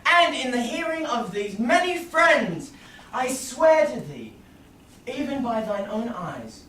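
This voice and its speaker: A woman's voice: on the high side, big pitch swings, takes it slowly, fairly bright tone, heavily acted. A woman playing an earnest, contrite young husband in a Shakespeare staged reading, declaiming emphatically from a stage. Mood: pleading. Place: small theatre stage with audience. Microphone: far camera in the audience. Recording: roomy, distant, noisy theatre recording.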